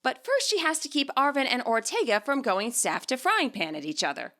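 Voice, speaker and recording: A woman reading scripted story narration as its author, in an expressive way. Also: high-pitched, brisk.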